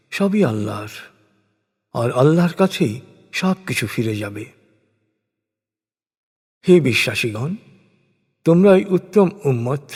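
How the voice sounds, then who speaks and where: A man reading translated scripture as a solemn scripted narration, in measured, declamatory phrases. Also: medium pitch, darkish tone, breathy edge. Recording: long hall-like reverb.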